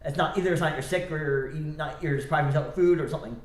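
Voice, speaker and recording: A man talks fast and loose into his stream, stopping and restarting his sentences as his thoughts wander. His voice sits a bit high and sounds a little stuffy and scratchy. The room around him is echoey. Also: steady tone. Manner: rambling, halting, many restarts and self-corrections. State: stuffy nose, slightly scratchy throat. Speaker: livestreamer. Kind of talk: rambling solo livestream chat.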